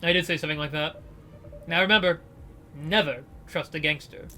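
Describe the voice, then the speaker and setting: Man doing a cold sneering gangster leader, bright and hard-edged, commanding. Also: a little high, fairly even intonation, a little fast, slight background noise. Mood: cold mocking.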